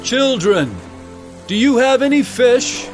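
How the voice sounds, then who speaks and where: A man voicing Jesus as a calm, tender teacher in a dramatized scripture reading, speaking slowly and with measured care. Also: extremely high pitch, voice pushed up, full character voice. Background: music.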